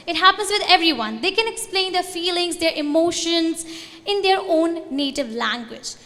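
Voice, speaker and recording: A woman's voice: extremely high pitch, fairly bright tone. A young woman from India with an Indian accent, for whom Hindi is her first language, giving an earnest conference presentation with loose phrasing and restarts. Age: young adult. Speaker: student organization representative. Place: large convention hall. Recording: reverberant hall.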